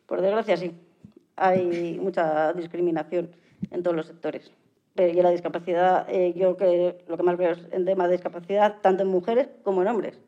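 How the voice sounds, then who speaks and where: A woman's voice: on the low side, flat delivery, moderate pace. A woman with a Spain accent answering interview questions casually, in a plain, matter-of-fact way. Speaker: interview guest, adaptive sports athlete. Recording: slightly dull lo-fi audio.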